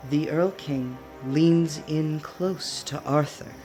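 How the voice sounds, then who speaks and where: Low-voiced, unhurried woman narrator. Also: mellow. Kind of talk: scripted narration of a radio play. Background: music.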